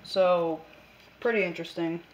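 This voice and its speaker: A woman's voice: lowish voice, steady tone, normal speed, slight background noise, poor audio. A woman narrating a casual hobby demonstration in an offhand way, half talking to herself, on a handheld camera in a room at home. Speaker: video maker. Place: small room at home, indoors. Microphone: handheld camera mic.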